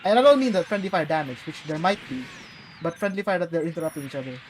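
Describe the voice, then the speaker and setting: A woman's voice: lowish voice, animated, fast talker, darkish tone, a little hiss or hum, low-quality recording. A young woman from the Philippines chatting casually while playing a game, rambling with fillers and casual swearing. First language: English. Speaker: gameplay streamer. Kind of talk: casual gaming chat.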